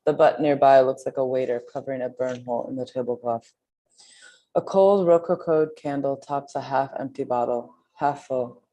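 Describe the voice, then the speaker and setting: A woman with an exceptionally low, mellow voice reads translated poetry aloud. Her tone is dark and relaxed, in the style of a literary reading. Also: normal speed, poor audio.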